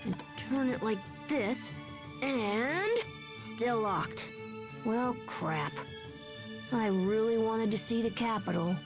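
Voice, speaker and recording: A woman's voice: a little high, slow. A woman playing a brash, headstrong young boy with a caring big-brother streak in a video game dub. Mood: sulky, defiant. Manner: casual, lively, impulsive. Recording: music under the voice.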